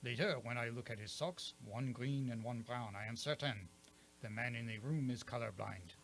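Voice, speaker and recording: A courtly detective with a French accent in a radio mystery. He is self-assured and theatrical, laying out each point with precise relish in a medium-pitched voice. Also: normal speed, vocal fry, fairly bright tone, soft background music, rough recording. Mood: genial, explaining. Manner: precise, theatrical, savoring his explanations. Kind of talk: radio mystery play acting.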